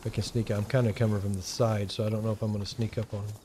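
A man with a Texan accent giving relaxed, rambling commentary and thinking aloud while he plays a survival game. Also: lowish voice, racing, slight background noise. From Texas. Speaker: gaming channel host. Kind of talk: casual gameplay commentary.

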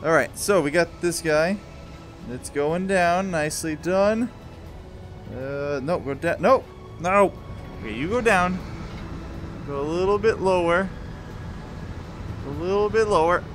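A young man gives casual, excited commentary as he plays a video game, playful and full of exclamations. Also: high-pitched, measured pace, pauses often, soft background music. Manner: playful, exclamatory, talks along while moving in a VR game. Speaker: gaming video host.

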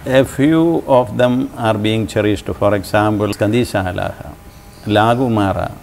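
A man in his seventies with a Malayali English accent, speaking as an interview guest in a measured, thoughtful way, with frequent pauses. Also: lowish voice, gravelly, darkish tone, a little hiss or hum. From Kerala, India. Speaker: interview guest, church leader. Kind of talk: reflective conversation. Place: bright indoor sitting room. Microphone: lapel mic.

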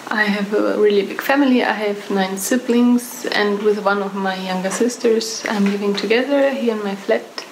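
A woman's voice: medium pitch, fairly even intonation, moderate pace, few pauses. A woman from Germany, a cellist, introducing herself at home. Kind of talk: self-introduction to camera. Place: room at home. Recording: echoey room, faint noise.

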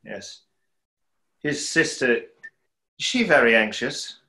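A man's voice: medium pitch, expressive, slow, pushed and bright, full character voice. A young man playing a skeptical stage conjurer in an online play reading, with a dry, sardonic delivery. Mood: guarded. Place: small room at home, video call.

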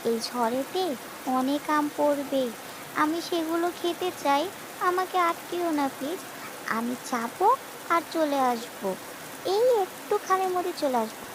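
Woman as a high-pitched, coaxing little girl. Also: unhurried, background noise. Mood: coaxing, eager. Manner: childlike, coaxing. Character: stubborn, pleading young village girl who loves rain. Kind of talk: cartoon voice acting. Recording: rain sounds over voice.

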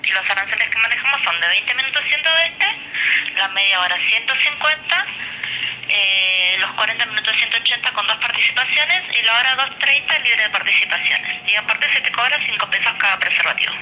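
A woman's voice: on the high side, animated, fairly quick, bright, forceful tone. Argentine woman on a noisy phone call. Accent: Argentine Spanish. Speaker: escort service worker answering phone. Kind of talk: matter-of-fact phone conversation.

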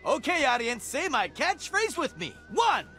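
A man playing a cocky, excitable, fame-hungry toad hero with a showboating manner in a cartoon game. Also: very high voice, measured pace, exaggerated voice. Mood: cocky showman. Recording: music behind the voice.

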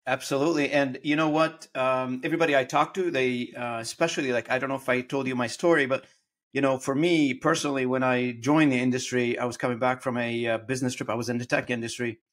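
A man at a middle pitch hosts an interview, talking in long, rambling stretches. He often restarts his phrases and reaches for words as he goes. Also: steady tone, fast. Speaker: podcast host. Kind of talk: conversational interview.

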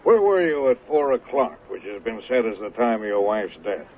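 A man's voice: fairly low pitch, very animated, moderate pace. Businesslike male police lieutenant, muffled. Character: brisk, probing police lieutenant questioning a suspect. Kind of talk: 1970s radio drama acting. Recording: muffled, hissy vintage radio recording.

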